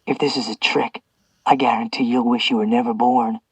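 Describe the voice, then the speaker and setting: A sneering, vengeful male captor who taunts his prisoner with slow, poisonous mockery, as a man puts him on in an audiobook. The voice is dry and close, a little husky. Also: medium pitch, little pitch movement, no room sound, far from the mic. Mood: venomous taunting. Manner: venomous, mocking, drawn-out. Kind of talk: audiobook character voice.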